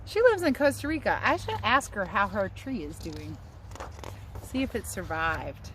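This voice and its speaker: A woman's voice: mid-range voice, big pitch swings, unhurried, a bit bright, poor audio. A woman teacher reading a picture book aloud to children outdoors, adding casual asides and speaking to her young listeners in an encouraging way. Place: outdoors under trees near a house. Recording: outdoor background noise, passing aircraft.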